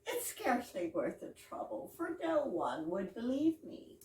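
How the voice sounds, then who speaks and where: Slow, dramatic, excitable wife played by a woman. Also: a little high. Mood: coy suspense. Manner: dramatic, drawn-out delivery. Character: excitable wife, breathlessly earnest about trivial things. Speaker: amateur readers theater actor. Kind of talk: staged reading of an absurdist comedy play. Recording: echoey room, far mic, background noise.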